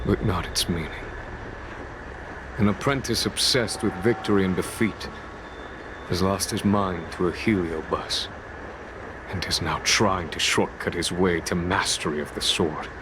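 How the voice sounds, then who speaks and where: Calm wise general, low voice, formal game acting, noisy ambience behind. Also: male voice, measured pace, pauses often. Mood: grave. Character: composed, wise middle-aged general and sword master.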